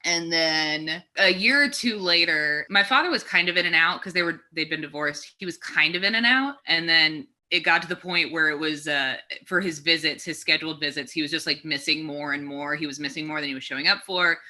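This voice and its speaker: A woman's voice: low voice, fairly quick, hardly any pauses, pushed and bright. A woman in her twenties from Texas, a stand-up comedian telling her story as a podcast guest in a chatty, darkly joking way. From Texas, USA. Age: 20s. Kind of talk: casual conversational storytelling.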